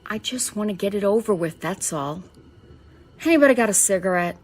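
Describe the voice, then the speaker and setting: A woman playing an edgy, plain-spoken space lieutenant in a science fiction radio drama, with a husky voice. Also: mid-range voice, lively intonation, a little fast, close to an everyday voice. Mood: edgy, impatient.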